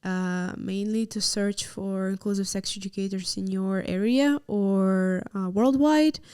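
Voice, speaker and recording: A woman youth worker and sex educator on a live panel, giving practical, clear advice. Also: mid-range voice, measured pace, darkish tone, dry recording, studio quality.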